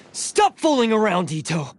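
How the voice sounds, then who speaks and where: A man's voice: high voice, creaky, slight background noise, full character voice. A man playing a proud, tormented oni in a video game, stern and self-sacrificing, with intense, dramatic delivery. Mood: resolute.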